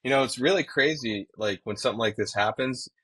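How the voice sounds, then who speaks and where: A man's voice: medium pitch, a little fast, sharp tone, husky. A man co-hosting a podcast as a working pilot, talking casually in an earnest, explanatory way.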